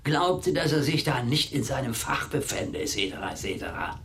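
A man's voice: mid-range voice, big pitch swings, moderate pace, a bit bright. An actor playing a capricious, theatrical man in a radio play, declaiming and savouring his words, moving between murmurs and calls. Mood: scornful. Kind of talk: German radio play acting.

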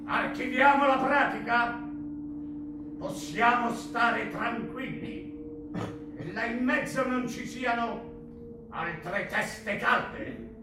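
An actor playing a bullying, foul-mouthed army colonel on stage, barking scornful, drawn-out questions in a theatrical style. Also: male voice, very high voice, noisy background. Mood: scornful, impatient. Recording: echoey stage, somewhat distant mic.

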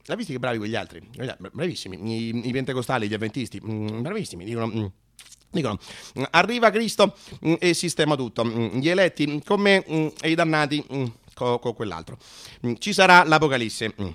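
Man playing cynical anticommunist strongman, radio theatre satire, halting mutter, sudden eruptions, forceful bright voice. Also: medium pitch, lively intonation, pitched below the performer's own voice, close to an everyday voice. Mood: sardonic contempt. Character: crude paranoid right-wing Latin American anticommunist strongman, cynical, scornful.

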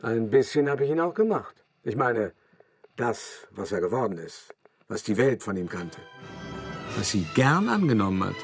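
An actor playing a shrewd, self-satisfied older art dealer who tells his past in a reflective, conversational radio drama monologue with deliberate pauses. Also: fairly low pitch, sing-song, soft background music. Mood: musing, proud.

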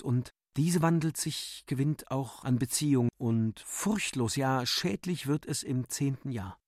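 A man giving a solemn, declamatory and deliberate dramatic recitation of literary text for a radio play. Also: a little high, a little mellow, no echo, studio quality. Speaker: narrator and reciter.

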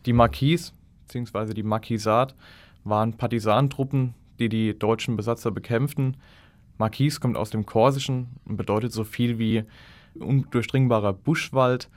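A man's voice: mid-range voice, steady tone, moderate pace, dry recording, lightly acted. A man playing a sober documentary commentator in a radio play, explaining history in a factual, scripted way. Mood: sober, explanatory. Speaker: explanatory narrator.

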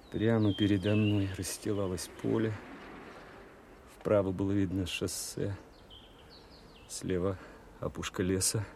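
Watchful, earnest army man, low register, confiding radio-play delivery, clear background noise. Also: warm tone. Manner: plain, colloquial, confiding. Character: earnest, watchful soldier recounting his wartime manhunt. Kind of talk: first-person radio play narration.

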